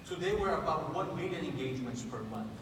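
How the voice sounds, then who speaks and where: A man giving a conference keynote, talking in a conversational, energetic pitch with frequent restarts, in a large conference room. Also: brisk. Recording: echoey hall from far off, noisy.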